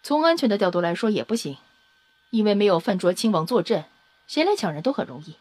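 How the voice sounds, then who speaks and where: A woman playing a confident, teasing scientist in an audio drama, brisk and matter-of-fact with a playful, self-satisfied manner. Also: medium pitch, sing-song, a little mellow, no echo. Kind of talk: Chinese audio drama acting.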